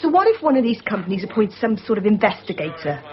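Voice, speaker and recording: A woman's voice: mid-range voice, very animated, fast, lightly acted. Female actor playing sceptical sardonic woman, challenging, dry wit, swooping intonation, muffled radio drama sound. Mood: wary, sardonic. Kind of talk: 1990s radio drama acting. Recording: muffled radio drama, crowd noise behind.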